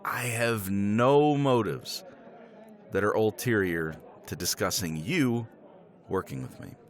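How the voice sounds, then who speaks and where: A man's voice: fairly low pitch, expressive, slow pace. Man playing a tipsy, rambling middle-aged professor. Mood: persuasive. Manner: rambling, chuckling, swears casually. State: tipsy from scotch. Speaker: roleplay character. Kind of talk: ASMR romance roleplay.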